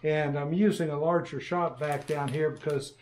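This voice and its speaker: An older man in a workshop, calmly demonstrating a task in casual how-to style, pausing with fillers as he works. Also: fairly high pitch, a little hiss or hum. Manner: unhurried, plainspoken, pauses with fillers while working. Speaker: workshop host. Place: large workshop with shelves of printers, indoors.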